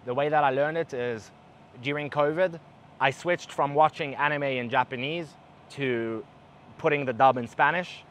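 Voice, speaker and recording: A young man with a mixed accent that is partly South African talks straight to the camera in a relaxed, animated way, moving his hands as he speaks. His voice sits at an ordinary middle pitch. Faint city noise hums behind him on an outdoor balcony at night. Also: normal speed. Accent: mixed English, partly South African. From Israel. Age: young adult. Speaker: travel vlogger. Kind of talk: casual talk to camera.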